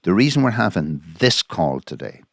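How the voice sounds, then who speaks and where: A man's voice: low voice, very animated, normal speed, creaky, no room sound, clean studio sound. An Irish man giving an informal spoken analysis of a recording, indignant, emphatic and repeating his points. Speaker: commentator analysing a recorded phone call.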